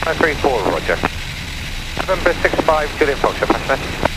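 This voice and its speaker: A man's voice: fairly high pitch, very animated, fairly quick. A man working as an air traffic controller, giving clipped procedural instructions over aviation radio. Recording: radio transmission under loud engine noise. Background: aircraft engine.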